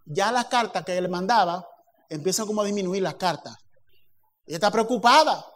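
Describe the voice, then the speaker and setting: Male preacher, high pitch, animated sermon delivery with acted-out dialogue. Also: fairly quick. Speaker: pastor.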